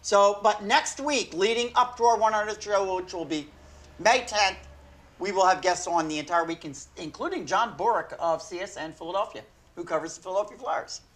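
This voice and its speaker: An older man with a hoarse, failing voice hosting a sports talk show from a small studio desk, rambling and correcting himself as he goes. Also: sits high, moderate pace, a bit bright. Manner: rambling, self-correcting, chuckling. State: hoarse, losing his voice.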